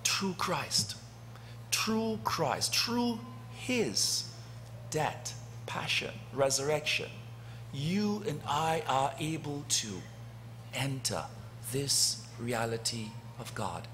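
Male priest, middle-aged, slightly high voice, slow deliberate sermon delivery with long dramatic pauses, faint background hiss. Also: animated. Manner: slow and deliberate, long pauses, swelling loud then dropping soft. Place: church sanctuary, indoors. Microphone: lectern gooseneck mic.

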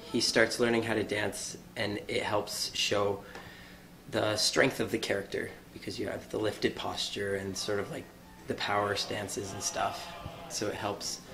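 Low-voiced, informal young man. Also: a bit bright. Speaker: amateur stage actor. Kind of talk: casual cast interview.

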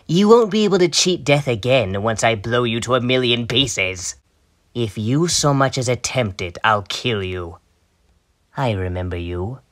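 A man's voice: medium pitch, expressive, slow pace, a bit bright. A man voicing a vain, sadistic alien tyrant from an anime, theatrical and mockingly polite as he taunts and gloats. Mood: gloating, threatening, then cool, menacing. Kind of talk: anime villain voice demo, acted character lines.

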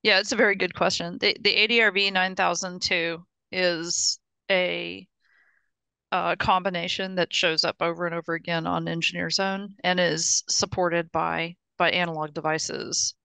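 A woman with a bright, clear voice talks through engineering plans on a video call. She restarts and repeats words as she works out her explanation, and the sound is close and dry. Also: on the low side, little pitch movement, moderate pace, no echo. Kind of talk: technical meeting discussion.

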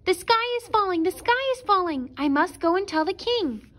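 A woman voicing an alarmed, excitable little storybook hen with urgent, insistent exclamations. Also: extremely high pitch, moderate pace, voice pushed up.

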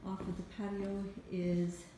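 A woman giving a walk-through house tour as a rental agent, halting between short phrases, recorded on a handheld phone. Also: mid-range voice, flat delivery. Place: walking through an empty house. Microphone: handheld phone behind the camera. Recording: echoey empty rooms, clear background noise.

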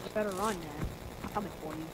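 An eleven-year-old boy casually chatting and teasing his opponent while playing a shooter game. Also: high-pitched. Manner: fast, teasing trash talk. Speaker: gamer and channel host. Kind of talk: casual gaming chat. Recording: noisy home mic over game sounds.

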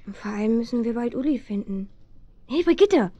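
A woman playing a lively, curious teenage girl detective in a German children's radio play, exclaiming eagerly. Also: fairly high pitch, fairly quick. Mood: cozy, curious. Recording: muffled 1970s radio play recording.

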